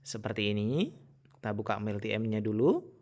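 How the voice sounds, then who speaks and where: Man with lively, varied pitch. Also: normal speed. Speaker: tutorial presenter. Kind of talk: casual step-by-step screen tutorial.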